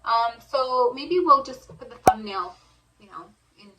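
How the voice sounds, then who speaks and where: Soft-spoken woman explaining, distant mic. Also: sits high, lively intonation, unhurried, heavy background noise. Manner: soft-spoken, hesitant, many ums. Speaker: co-host. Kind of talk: casual explanatory chat.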